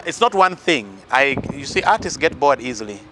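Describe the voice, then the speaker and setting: A man's voice: medium pitch, big pitch swings, moderate pace, sharp tone, a little hiss or hum. A musician from northern Uganda with a Ugandan accent, earnestly giving life advice and talking about his influences in an interview, with many fillers and restarts. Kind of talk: interview answers.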